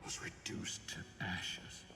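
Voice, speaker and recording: An actor playing a grave, weary man who recounts ancient history in slow, solemn, measured game dialogue. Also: in a whisper, lightly acted. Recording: reverberant game audio over loud music.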